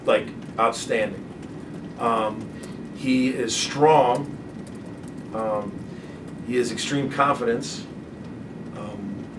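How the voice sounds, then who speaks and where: A middle-aged man coaching basketball, answering postgame press questions in a halting way, repeating words and restarting often. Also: mid-range voice. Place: press conference room. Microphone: desk microphone from a distance. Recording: distant mic, clear background noise.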